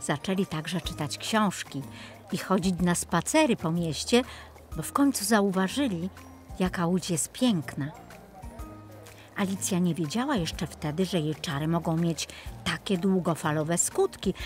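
A woman narrating a children's story expressively as the storyteller. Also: on the low side.

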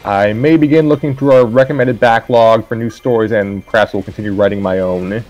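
A man co-hosting a show in a deadpan manner, mixing scripted announcements with casual chat. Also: on the low side, fairly quick, dull audio, soft background music. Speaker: co-host of a story-reading show.

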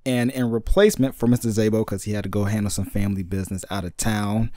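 A man hosting a podcast, talking in a laid-back, slangy way with plenty of halting fillers. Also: fairly low pitch, fairly even intonation, dark tone, no room sound, studio quality. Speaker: podcast host. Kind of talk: casual podcast chat.

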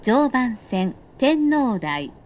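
Formal woman announcer, unhurried and higher-pitched. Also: big pitch swings, a little mellow, dull audio. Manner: formal, polite, measured scripted delivery. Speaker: automated train announcer. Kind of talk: recorded train announcement in short set phrases.

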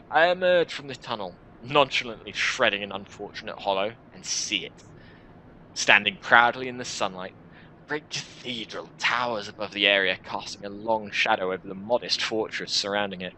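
Young guy doing dramatic story narration, kinda low but sharp voice, bit of background noise, home recording. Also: poor audio. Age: 20s. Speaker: video creator reading a visual novel. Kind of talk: expressive first-person story narration. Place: small room at home, indoors.

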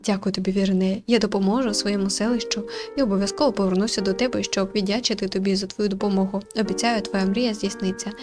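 An earnest young villager, played by a woman reading a game speaks solemnly in old-fashioned phrases. The voice sits at a middling female pitch, with faint music behind it. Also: fairly even intonation, quick pace, few pauses, natural voice. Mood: sincere. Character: earnest young male villager dreaming of magic.

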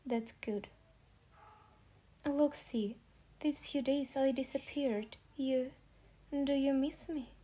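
A rich young man in a manga dub, played by a woman, teasing and quarrelsome. The delivery is mellow and unhurried. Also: sits high.

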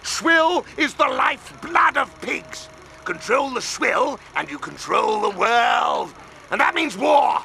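An actor voicing a furious, bullying pig drill sergeant in a military cartoon game, barking theatrically and with menace. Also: male voice, extremely high pitch, very animated, slow pace, gravelly, raised voice, background noise, exaggerated voice. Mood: stern, commanding.

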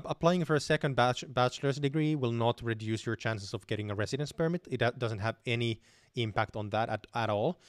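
A man's voice: mid-range voice, no room sound. Young male host, casual live-stream Q&A, hesitant fillers, dry close-miked sound. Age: young adult. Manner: relaxed, many fillers and restarts, reads chat questions aloud. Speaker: channel host answering viewer questions. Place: dim home room with shelves. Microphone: studio mic on boom arm close to mouth.

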